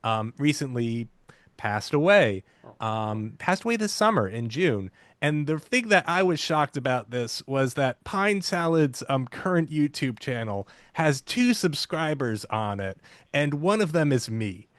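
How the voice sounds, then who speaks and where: A man's voice: mid-range voice, very animated, a little slow, no echo. A man with a mid-range voice hosts a casual chat in a dry, close recording. He talks in a rambling, enthusiastic way, like a devoted fan sharing history. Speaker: host. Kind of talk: casual discussion of fandom history.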